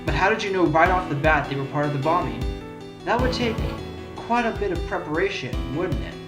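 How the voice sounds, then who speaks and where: A man's voice: fairly high pitch, expressive, a little fast, close to an everyday voice. A man playing a determined, probing fox defense attorney in a fan courtroom audio drama, given to dramatic delivery. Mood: pressing, suspicious. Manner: dramatic shouted objections, pondering asides. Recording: roomy echo under loud music.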